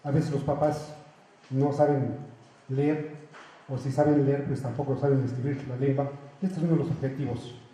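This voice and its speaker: A man's voice: mid-range voice, little pitch movement, normal speed, dark tone, slight background noise. Mexican man in an echoey room. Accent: Mexican Spanish. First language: Otomi. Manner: earnest, with frequent restarts and self-corrections. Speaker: university language teacher. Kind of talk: reading aloud then explaining.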